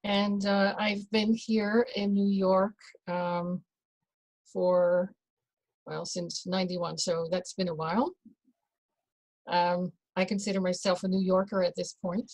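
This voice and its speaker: A woman from the Netherlands, a doula, talking as an interview guest in a slow, hesitant way with many fillers and restarts. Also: fairly low pitch, little pitch movement, a little mellow, no room sound, poor audio. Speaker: guest, birth and rebirth doula. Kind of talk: casual conversational interview.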